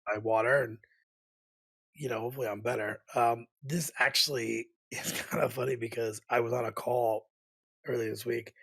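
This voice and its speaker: Man, hoarse with a cold, gravelly, raspy, halting restarts, podcast co-host. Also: medium pitch, fairly even intonation, fairly bright tone, poor audio. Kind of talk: casual podcast chat. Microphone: desk condenser mic.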